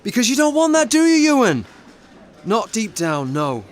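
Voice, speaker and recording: An exasperated, animated theatre manager. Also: male voice, high-pitched, normal speed, soft background music. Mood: heated. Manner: insistent, persuasive. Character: earnest, exasperated male theatre business manager. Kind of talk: audio drama acting.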